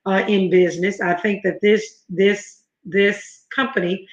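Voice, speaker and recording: A woman's voice: mid-range voice, steady tone, unhurried. Chatty woman host in an echoey room. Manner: chatty, enthusiastic, rambling asides. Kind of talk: interview show hosting. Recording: echoey room, dull lo-fi audio.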